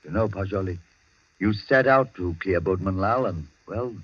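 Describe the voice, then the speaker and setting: Flustered loyal gentleman, lowish husky voice. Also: moderate pace. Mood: troubled, loyal. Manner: hesitant, stammering, genteel. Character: loyal, flustered gentleman friend of the accused, well-mannered. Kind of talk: 1940s radio acting. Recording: dull vintage 1940s radio recording.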